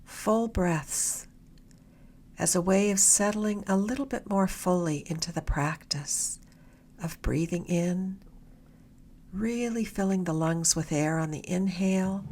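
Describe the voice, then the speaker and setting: A woman leading a guided meditation in a gentle, soothing, unhurried way, her soft voice turning slightly breathy at the ends of phrases. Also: medium pitch, slight background noise. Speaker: meditation guide, mindfulness mentor.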